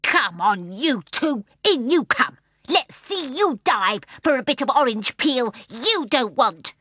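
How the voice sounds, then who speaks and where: A bitter, rude little male seal, voiced by a woman reading a storybook. He barks out his words high and loud in an angry, jeering tone. The recording is close and dry. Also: big pitch swings, unhurried, no echo, full character voice.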